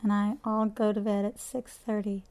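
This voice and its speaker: A woman voicing a brisk, easily offended neighbor mother. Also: mid-range voice, little pitch movement, a little mellow, lightly acted.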